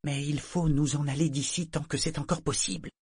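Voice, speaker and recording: Un homme lisant à voix haute qui joue une jeune femme marin déguisée en garçon, sonnée, qui parle en articulant avec soin. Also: male voice, fairly high pitch, normal speed, a little mellow, dry recording, clean studio sound, pitched above the performer's own voice, natural voice. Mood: curt. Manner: careful, weary, clipped delivery. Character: young woman sailor disguised as a boy, dazed, curt.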